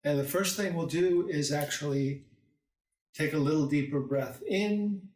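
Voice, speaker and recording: A man leading a guided meditation as a teacher, calm and soothing, leaving long pauses between short phrases. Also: fairly high pitch. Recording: roomy echo, distant microphone.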